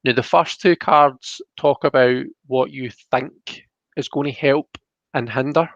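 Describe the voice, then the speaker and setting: A man's voice: medium pitch, a little slow, no echo, highs cut off. A man hosting a casual tarot reading, explaining the cards in a hesitant, rambling way.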